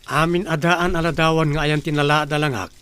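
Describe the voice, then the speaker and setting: A man plays a young Ilocano grandson in a radio drama. His voice sits a little high, and he talks with new confidence, as someone set on leaving his past behind. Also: fairly even intonation, brisk, fairly bright tone, clear, resonant tone, lightly acted. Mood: resolute, bitter. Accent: Filipino, Ilocano. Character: young grandson, newly confident, bitter about past looks.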